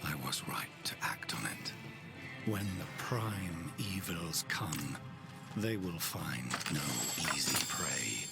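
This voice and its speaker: Villainous game zealot, male: very low, gravel-edged, slow and portentous. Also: animated, exaggerated voice. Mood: ominous resolve. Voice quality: deep, creaky. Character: brooding male zealot mage, sure of prophecy. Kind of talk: dramatic video game dialogue. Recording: loud music under the voice.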